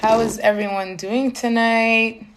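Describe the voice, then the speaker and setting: A woman's voice: a little high, steady tone, a little slow, a little hiss or hum. A young woman from Canada hosting a live stream for her community foundation. She switches between English and Somali and uses many fillers. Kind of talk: live stream talk to viewers.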